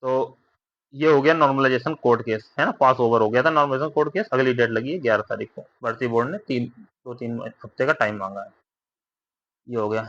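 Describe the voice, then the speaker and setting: A man's voice: mid-range voice, brisk, a bit bright, dry recording, dull audio. A man explaining exam updates informally to candidates, repeating himself, often checking for agreement, advising and reassuring. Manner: repetitive, with frequent tag questions seeking agreement.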